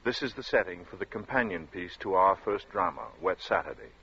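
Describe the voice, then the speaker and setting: A man's voice: deep voice, brisk, a bit bright, a little hiss or hum. A man announcing in a dramatic, scripted 1940s radio narration style. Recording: muffled 1940s radio recording.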